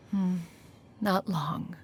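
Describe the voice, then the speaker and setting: A woman's voice: fairly low pitch, slight background noise. A woman playing an aloof, dignified immortal crane elder in a video game, speaking in formal, archaic, stately phrases. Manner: formal, archaic, stately speech, refers to self as "one". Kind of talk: scripted video game story dialogue.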